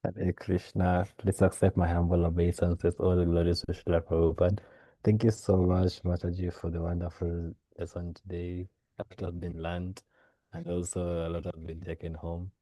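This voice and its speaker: A man's voice: low-pitched, monotone, normal speed, dark tone, dry recording, low-quality recording. A young man closing a devotional group call with polite, formal thanks. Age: young adult. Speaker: session closer.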